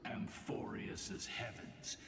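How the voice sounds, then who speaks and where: An actor playing a grave, weary ancient titan lord in a video game, speaking breathily and with solemn formality. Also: male voice, takes it slowly, a bit bright, slight background noise. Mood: solemn warning. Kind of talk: scripted game drama. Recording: echoing hall, distant, game music behind.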